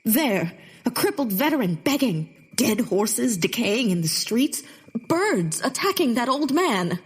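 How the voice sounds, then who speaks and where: A woman's voice: fairly high pitch, sing-song, unhurried, breathy edge, heavily acted. An actress playing a bitter, theatrical Victorian woman poet in a comedic period audio drama, with a haughty, sardonic delivery. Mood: exasperated, weary.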